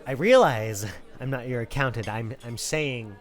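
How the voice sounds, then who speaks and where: A man's voice: mid-range voice, normal speed, natural voice. A man playing a fussy, nervous accountant on the run, stammering and politely persistent in an acted film script read. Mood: earnest insisting. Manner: hesitant, stammering, earnestly nagging.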